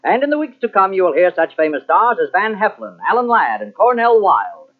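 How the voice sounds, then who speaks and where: A man's voice: high voice, big pitch swings, brisk. A man announcing a mid-century radio thriller program in a polished, dramatic manner. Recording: tinny old radio recording, music behind.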